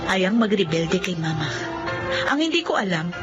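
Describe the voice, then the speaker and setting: A woman's voice: on the low side, sing-song, lo-fi sound. A woman playing a reflective storyteller recounting her own life in a sentimental radio drama. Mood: regretful. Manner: measured, sentimental. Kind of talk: first-person radio drama narration. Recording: narration over clear background music.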